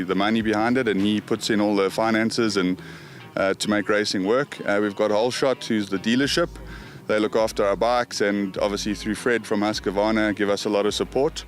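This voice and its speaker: A South African-accented man answering casually in an interview as a team manager. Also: lowish voice.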